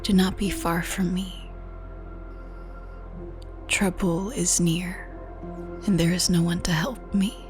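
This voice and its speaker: Woman whispering a prayer super slow, lowish, new-age music under it. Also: flat delivery. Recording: soft music bed under voice.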